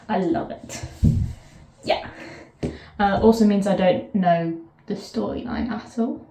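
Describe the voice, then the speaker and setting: A woman with a southern English accent chats to camera from a bath, her mellow, warm voice bouncing off the hard walls of an echoey bathroom. She rambles through stories in fits and starts, breaking off into asides and picking the thread back up. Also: medium pitch. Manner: rambling, chatty, self-interrupting asides. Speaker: vlogger. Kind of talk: casual chat to camera.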